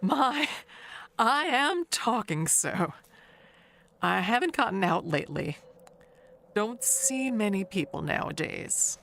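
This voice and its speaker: Slow-talking, evasive older woman played by an actress. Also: mid-range voice, very animated, gravelly, fairly bright tone, exaggerated voice. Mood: confiding, wistful. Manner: halting, rambling, confiding. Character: chatty, evasive older woman neighbor harboring a secret. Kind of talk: audio mystery monologue.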